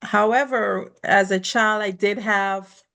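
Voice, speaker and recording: A woman from the Caribbean talks as a guest on a conversation podcast. She tells her personal story earnestly and graciously, her voice at an ordinary pitch. Small laughs slip in between her thoughts. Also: fairly even intonation, slow, gravelly, a bit bright. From Caribbean, born in Guyana, raised in Barbados.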